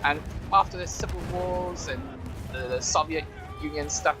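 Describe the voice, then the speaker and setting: A man's voice: a little high, normal speed, noisy background. A man talking casually and explaining things as a guest commentator over gameplay.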